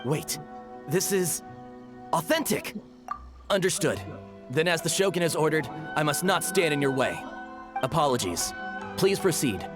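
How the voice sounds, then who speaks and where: A man's voice: on the high side, lively intonation, a little slow, long pauses. A man playing a dutiful, officious palace guard in a video game, speaking formally. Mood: respectful, conceding. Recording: music playing behind.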